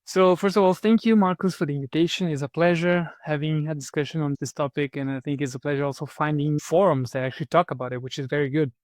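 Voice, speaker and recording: A man from Brazil answers interview questions in a slightly high voice, working through his thoughts with the odd repeated word. The recording is dry and close, with no room sound. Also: steady tone, quick pace, hardly any pauses, darkish tone, no echo. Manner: thoughtful, repeats words while searching for them. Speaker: podcast guest, program manager.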